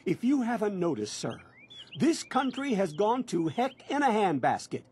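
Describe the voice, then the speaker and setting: Casting: ingratiating video game salesman, high voice, swooping lively delivery, effusive and pushy. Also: moderate pace, slight background noise. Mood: eager, urgent. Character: eager, fast-talking male door-to-door salesman, pushy and cheerful. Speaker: door-to-door vault salesman.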